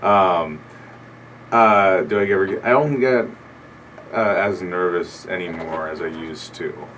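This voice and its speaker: Young guy with a really deep low voice, kinda far from the mic, faint hiss, lots of ums. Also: a little slow. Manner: relaxed, rambling, hesitant with many fillers. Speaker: comedian guest. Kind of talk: casual radio interview. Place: small radio studio.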